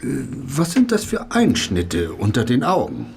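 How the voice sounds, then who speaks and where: An actor playing an irritable, blunt police detective with a raspy, creaky voice in a German radio play. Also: male voice, mid-range voice, huge pitch swings, measured pace, darkish tone, a little hiss or hum. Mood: uneasy, intent. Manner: blunt, exasperated. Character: irritable, squeamish police detective, quick to blame.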